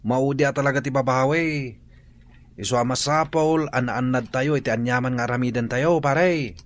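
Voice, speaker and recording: A man with an Ilocano accent playing a talkative, teasing friend who gives blunt advice in a radio drama, animated and nagging. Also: medium pitch, normal speed, lightly acted. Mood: chiding.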